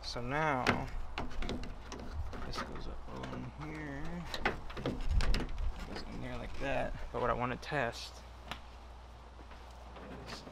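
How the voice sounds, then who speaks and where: A man's voice: mid-range voice, wildly swooping pitch, extremely slow, vocal fry, long pauses, very noisy. A man giving casual, offhand hands-on how-to commentary, half muttering to himself as he works. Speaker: DIY vlogger installing a CB radio.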